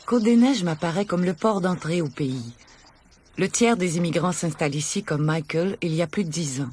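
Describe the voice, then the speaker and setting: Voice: medium pitch, a little fast, darkish tone, a little hiss or hum. A narrator reading scripted documentary voice-over.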